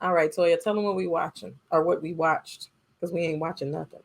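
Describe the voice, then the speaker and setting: A woman co-hosting a casual film review chat, speaking in an informal, slangy and expressive way. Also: fairly low pitch, a little fast, gravelly. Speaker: podcast co-host.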